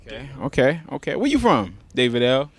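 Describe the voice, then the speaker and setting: A man's voice: medium pitch, very animated, a little fast, husky, no echo. A man runs a loose street interview in an everyday middle voice. He keeps saying "okay" and starts his questions over before getting them out. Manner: repeats "okay" and restarts questions.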